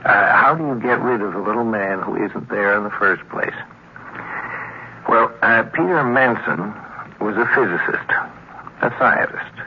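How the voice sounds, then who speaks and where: A man's voice: lowish voice, breathy edge. A man introducing a story as a 1950s radio host, conversational and musing, drawing out his words. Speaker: host and narrator. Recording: muffled, noisy 1950s radio recording.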